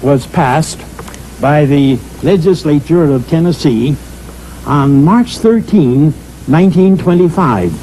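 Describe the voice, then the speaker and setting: An older man recounting past events in a measured, hesitant way, with frequent pauses and uh's. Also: a little high, expressive, background noise. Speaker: storyteller recalling history. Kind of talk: spoken historical recollection.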